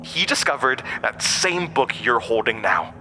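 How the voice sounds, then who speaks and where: An actor playing an ancient, wounded supernatural outcast, manipulative and bitter, with taunting, dramatic delivery in a horror audio drama. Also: male voice, mid-range voice, very animated, a little slow. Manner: taunting, dramatic storytelling with swooping emphasis. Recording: long cavernous reverb, faint noise.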